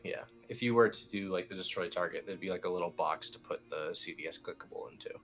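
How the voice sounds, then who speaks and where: Male tutorial instructor, very low register, informal and easygoing delivery, faint music underneath. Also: quick pace, a bit bright. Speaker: instructor, team lead. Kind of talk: casual software tutorial walkthrough. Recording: music playing behind the voice. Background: background music.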